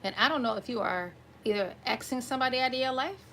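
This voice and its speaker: A woman with a slightly raspy voice giving an improvised tarot reading to viewers, conversational and often checking in with listeners. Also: fairly high pitch, fast, bright, forceful tone, a little hiss or hum. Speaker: tarot reader hosting an online collective reading.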